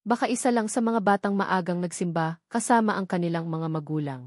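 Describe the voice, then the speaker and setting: A woman's voice: on the low side, fairly quick, a little mellow, no echo, clean studio sound. A woman with a Filipino accent giving a dramatic scripted narration of a romance story.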